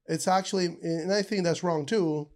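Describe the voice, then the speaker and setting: A man from Ecuador, a podcast guest, telling stories in an animated, casual way, full of "bro" and "dude". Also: a little high, moderate pace. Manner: animated storyteller, says bro and dude a lot.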